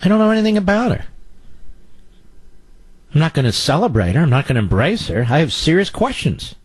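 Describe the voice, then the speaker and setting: A man's voice: fairly high pitch, big pitch swings, brisk, breathy edge. A male radio talk show host delivering a combative, sarcastic political monologue and taking calls.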